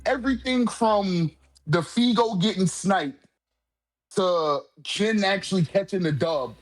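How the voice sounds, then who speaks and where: A man's voice: sits high, takes it slowly, poor audio. A man in a casual manga discussion, talking in an emphatic, profane, slang-heavy way as a commentator.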